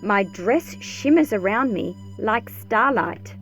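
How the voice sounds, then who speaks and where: A woman reading a picture book aloud as an expressive, measured storyteller. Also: medium pitch. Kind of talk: scripted storybook read-aloud narration. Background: background music.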